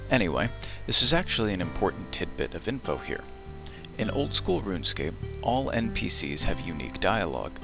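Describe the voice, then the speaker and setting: A man's voice: deep voice, vocal fry. A man in his adult years giving scripted, dry and wry voice-over narration as a video essayist. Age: adult who played as a child in the early 2000s.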